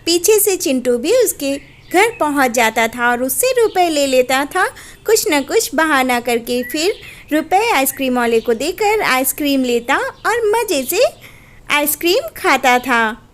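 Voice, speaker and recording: A woman narrating a children's cartoon story in an even storybook telling. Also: high voice, a little slow.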